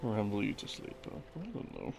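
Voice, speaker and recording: A man playing an affectionate, laid-back gamer boyfriend in an intimate ASMR roleplay, rambling softly and hesitantly to soothe his partner to sleep. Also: on the low side, wildly swooping pitch, creaky, noisy background, exaggerated voice. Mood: teasing, relaxed. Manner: soft-spoken, hesitant, teasing, with small chuckles and long pauses. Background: background game music.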